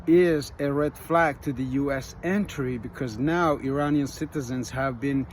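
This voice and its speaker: Earnest middle-aged man, street noise behind. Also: mid-range voice. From Iran. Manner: earnest, plain-spoken, slightly halting. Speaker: business coach. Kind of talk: direct-to-camera advice video. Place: outdoors in an urban area.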